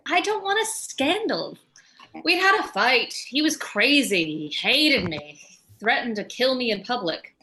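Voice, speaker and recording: A woman's voice: on the high side, very animated, a little slow, bright, forceful tone, a little hiss or hum, exaggerated voice, low-quality recording. A woman playing a cool, unsentimental widow with a dry, morbid manner in a script table read. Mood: defensive.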